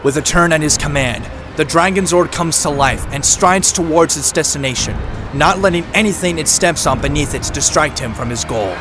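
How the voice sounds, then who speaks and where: A man's voice: a little high, normal speed, hardly any pauses, over loud music. A man giving dramatic scripted narration for an audio drama.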